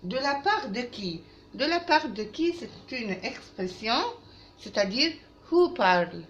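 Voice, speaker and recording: Woman, language teacher, didactic, repetitive, slow delivery, lively intonation, dull roomy recording with faint noise. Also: a little high. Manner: didactic, repeats phrases, switches into halting English. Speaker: French teacher. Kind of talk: language lesson for children, with repetition.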